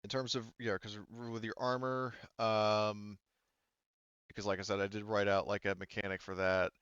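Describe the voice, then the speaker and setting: A man's voice: lowish voice, little pitch movement, normal speed, a bit bright, smooth, dry recording, muffled recording. A man acting as game master, explaining rules casually and rephrasing as he goes in a hesitant way.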